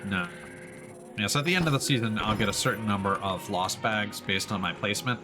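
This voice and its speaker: A man's voice: lowish voice, a little fast. A man doing casual live let's play commentary, exclaiming to himself and thinking aloud with casual swearing. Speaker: game streamer. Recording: game music behind the voice. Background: video game music.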